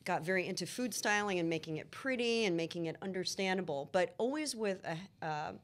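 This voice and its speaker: A woman's voice: lowish voice, lively intonation, brisk. A middle-aged woman from Egypt, talking casually as a guest chef, chatty with frequent ums and laughs. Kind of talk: casual interview chat.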